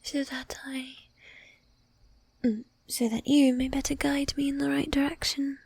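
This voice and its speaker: A woman playing a flirtatious, possessive vampire lady in an ASMR roleplay, speaking formally in a breathy whisper close to the microphone. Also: high-pitched, flat delivery, a little mellow, no echo. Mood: courtly, coy. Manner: formal, archaic diction, slow and deliberate. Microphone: close microphone, dry.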